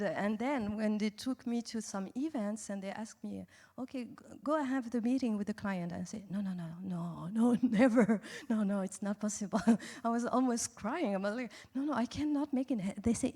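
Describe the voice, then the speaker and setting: French-accented woman with a mellow voice. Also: medium pitch, normal speed. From France. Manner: chatty with frequent restarts and laughs. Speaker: festival panel speaker. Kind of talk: conversational panel talk. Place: festival talk venue.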